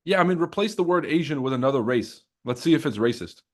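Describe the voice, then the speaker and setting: A man's voice: a little high, lively intonation, fast. A young man hosting a trading livestream, chatting loosely with his chat, joking and swearing freely.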